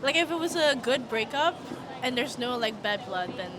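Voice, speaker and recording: A woman's voice: on the high side, animated, normal speed, a crowd in the background. Chatty young woman, street interview. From Singapore. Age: young adult. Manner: chatty, lots of "like" and "um". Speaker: university student, interviewee.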